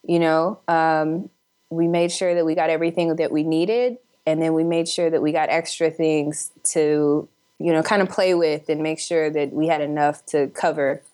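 Female interview guest, lower-pitched voice, upbeat and conversational. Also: steady tone, moderate pace, a little mellow. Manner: upbeat, chuckles while talking. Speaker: interview guest, actor and film director. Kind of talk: casual interview chat.

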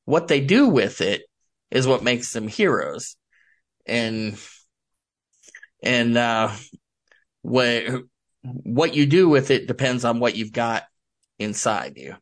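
Male author guest, mid-pitched and unhurried, casual halting explanations that wander. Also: animated. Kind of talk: casual interview chat about his book.

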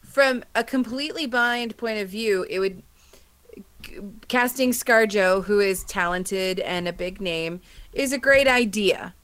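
A woman's voice: mid-range voice, a little slow, a bit bright, no room sound. Emphatic woman podcaster, close dry sound. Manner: emphatic, exasperated, blunt. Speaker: podcast panelist. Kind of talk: casual opinionated panel discussion.

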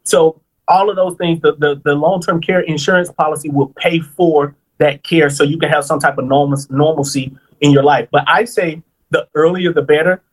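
A man in his thirties, a financial planner on a podcast, explaining things in a lively, conversational way and checking in with "right?". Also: a little high, normal speed, poor audio. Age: 30s.

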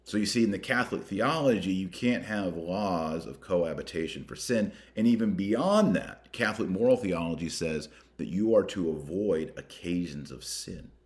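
A man hosting a podcast, reading a document aloud with emphatic, deliberate delivery and adding his own commentary. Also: low-pitched, animated. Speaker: podcast host.

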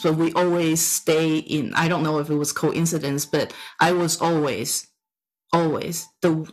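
Woman, middle-aged, Taiwanese accent, native Chinese speaker, lowish pitch, personal storytelling, emphatic repetition. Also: rough recording. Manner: emphatic, repeats phrases for effect. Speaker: storyteller giving a personal talk.

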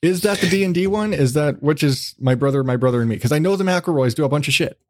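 A man's voice: fairly high pitch, animated, fast, no echo, studio quality. A man hosting a podcast, chatting in a casual, rambling way with jokey, self-deprecating, profane remarks. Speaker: podcast host. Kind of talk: casual, rambling interview chat.

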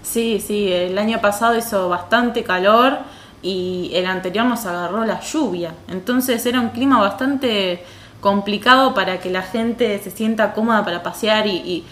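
A woman's voice: medium pitch, a little slow, a bit bright. A woman with an Argentine accent chatting casually as a podcast co-host. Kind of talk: casual chat. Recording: roomy, echoing sound.

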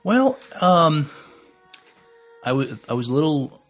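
A man co-hosting a podcast in casual, unscripted fan chat, haltingly restarting and qualifying what he says. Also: on the high side, very animated, a bit bright, soft background music. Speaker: podcast co-host.

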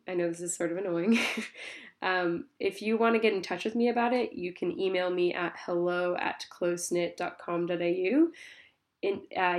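Woman, congested, somewhat low voice, chatty podcast host, phone recording. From Tasmania, Australia. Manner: chatty and apologetic, many ums. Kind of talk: casual spoken update to listeners.

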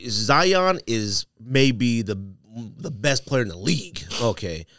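A man's voice: mid-range voice, sing-song, takes it slowly, a bit bright. A man co-hosting a sports podcast in casual banter, speaking in a blunt, emphatic, slangy way.

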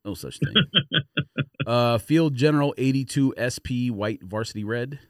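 A man's voice: mid-range voice, moderate pace, a little mellow, no room sound. A man hosting a podcast with casual, slangy banter, blunt and teasing in the way he talks. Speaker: podcast host. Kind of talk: casual banter reviewing sneaker releases.

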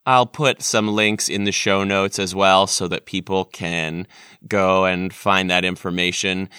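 Male podcast host, crisp bright voice, casual and reflective, close dry sound. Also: on the low side, no room sound. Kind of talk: casual, reflective interview chat.

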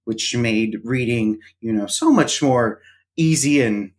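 A middle-aged man, a podcast co-host, talking casually as an interview guest and filling his pauses with ums and uhs. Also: medium pitch. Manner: hesitant, many fillers. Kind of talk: casual conversation.

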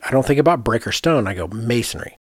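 A man's voice: mid-range voice, lively intonation, fast talker, no room sound, studio quality. A man co-hosting a podcast as an architect, talking casually and thinking aloud, often voicing his own reasoning as quoted asides. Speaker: podcast host.

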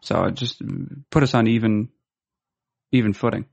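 A man's voice: fairly low pitch, normal speed, gravelly, a little mellow. A man hosting a podcast, chatting casually and teasing his guest. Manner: joking, teasing banter. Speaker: podcast host.

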